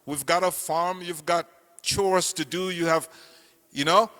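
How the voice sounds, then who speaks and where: An older man from Cameroon giving an earnest campaign speech, telling his life story with slow, deliberate emphasis. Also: high voice, fairly even intonation, sharp tone. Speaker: political candidate speaking at a meeting. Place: meeting hall. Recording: reverberant hall.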